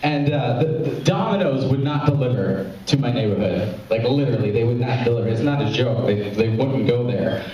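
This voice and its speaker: A man's voice: mid-range voice, expressive, brisk, mellow, dull audio, slight background noise. A young man from Memphis doing rambling open mic stand-up, breaking off mid-joke and laughing at his own lines. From Memphis, Tennessee, US. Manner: rambling, self-interrupting, laughs at own jokes. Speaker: stand-up comedian. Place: small club, live audience. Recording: very echoey room, from far off.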